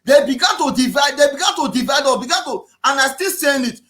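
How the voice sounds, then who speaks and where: Man, Nigerian accent, very high voice, preachy, repetitive, shouts often. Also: expressive, a little fast. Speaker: activist speaker. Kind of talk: impassioned political talk.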